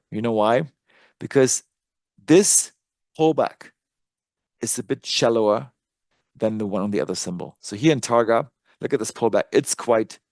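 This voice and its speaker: A man who is a native German speaker talks through stock charts live in a medium-pitched voice. He is conversational and thinks aloud as an analyst would. The sound is dry, with very little room in it. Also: darkish tone, dry recording. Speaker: trading analyst and presenter.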